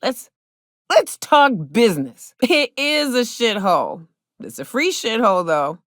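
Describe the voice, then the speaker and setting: An actress voicing a brash, hard-drinking big game hunter in a video game, with folksy, slangy, sardonic talk. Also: female voice, on the high side, sing-song, measured pace, no room sound, clean studio sound. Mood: brash, tipsy. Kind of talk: video game character dialogue.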